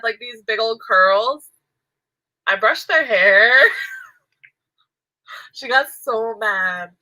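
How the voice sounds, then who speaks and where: A woman's voice: fairly high pitch, sharp tone, rough recording. A woman chatting casually while she crochets, rambling and giggling, her nose stuffy and nasal from allergies. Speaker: crochet vlogger.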